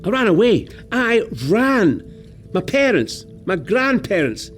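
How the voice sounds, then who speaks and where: Anguished young Scottish lad, high voice, very animated, halting, guilt-ridden, audio drama, faint music behind. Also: male voice, exaggerated voice. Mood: guilty, distraught. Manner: halting, emotional. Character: anguished young male piper haunted by battlefield guilt.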